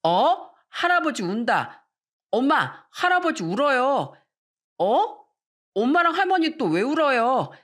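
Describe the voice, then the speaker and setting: A woman's voice: high voice, sing-song, takes it slowly, a bit bright, voice pushed up, heavily acted. A woman voicing an innocent, curious young child in a story, artless and full of exclaimed questions. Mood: surprised, curious. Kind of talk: scripted story dialogue.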